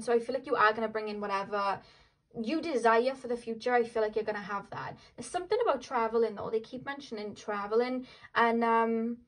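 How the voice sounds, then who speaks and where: Young welsh woman doing a chatty tarot reading at home, lots of likes and ums, voice a bit on the high side. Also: low-quality recording. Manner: chatty, rambling, many fillers and "like"s. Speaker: tarot reader. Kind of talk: casual tarot reading to camera.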